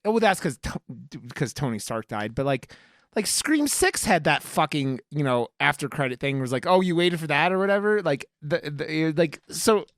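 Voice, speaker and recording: A podcast co-host with a mid-pitched, very animated voice, recorded dry and close. The talk is casual film chat, rambling and halting, with plenty of swearing. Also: no echo.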